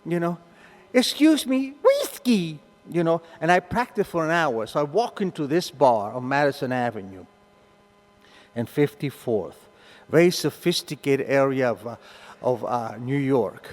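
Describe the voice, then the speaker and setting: An older man with a thick Argentine Spanish accent tells his story to a meeting, his pitch sitting a little high and leaping around as he acts out the voices. His voice carries through a reverberant hall, the sound ringing on after each phrase. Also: expressive, unhurried. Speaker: recovery meeting speaker.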